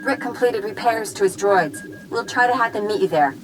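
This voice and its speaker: A woman's voice: medium pitch, expressive, moderate pace, soft background music, natural voice. An actress playing an earnest female mission briefer in a science fiction video game, speaking in a serious, instructive way. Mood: focused, supportive. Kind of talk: video game cutscene acting.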